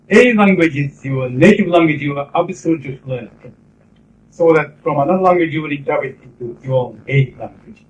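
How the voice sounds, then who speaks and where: A middle-aged man with a Japanese accent, lecturing a class in English about interpreting in a measured, explanatory way with pauses between phrases. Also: fairly high pitch, a little hiss or hum. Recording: roomy, distant, dull 1990s classroom tape.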